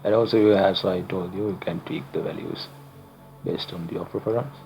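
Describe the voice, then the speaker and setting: A young man from Sri Lanka talks through a coding tutorial in English, which is not his first language. His voice sits low and gravelly, and he explains haltingly with frequent fillers and restarts. Faint music plays underneath. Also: steady tone, warm tone, low-quality recording. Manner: halting, self-correcting explanations with many fillers. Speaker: channel host, tutorial maker. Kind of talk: screen-recorded coding tutorial.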